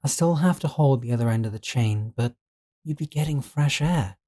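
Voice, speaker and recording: A man's voice: medium pitch, normal speed, dark tone, natural voice. A man playing an obsessive, possessive servant in a scripted roleplay audio drama, coaxing and deferential yet controlling. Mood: stern, devoted.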